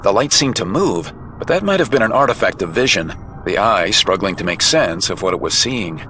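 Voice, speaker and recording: A man's voice: on the low side, expressive, fairly quick, bright, forceful tone, a little hiss or hum. A man reading dramatic scripted narration for a comic-book adventure.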